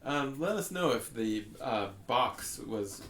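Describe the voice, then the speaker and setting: A man co-hosting a podcast, casually addressing listeners in halting, slightly formal phrases. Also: mid-range voice, animated, a bit bright. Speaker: podcast co-host.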